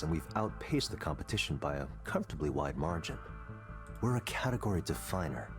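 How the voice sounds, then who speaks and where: An actor playing a smooth, quietly condescending tech boss in a visual novel game, speaking in measured, pointed rhetorical questions. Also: male voice, low-pitched. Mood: smug, probing.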